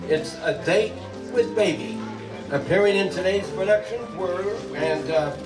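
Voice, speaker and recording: A man's voice: high voice, a little slow. A man playing an earnest, showy announcer in an old-time radio play. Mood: formal announcing. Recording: echoey distant stage, loud music and crowd. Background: music and crowd.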